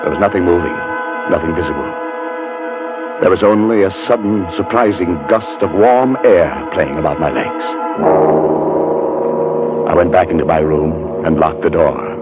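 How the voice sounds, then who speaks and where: Deep-voiced haunted man, played by a radio actor. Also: moderate pace, long pauses, natural voice. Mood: hushed dread. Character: haunted, fearful man recounting his terror. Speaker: narrator and lead actor. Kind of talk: 1940s radio horror acting. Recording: muffled 1940s radio recording, music behind.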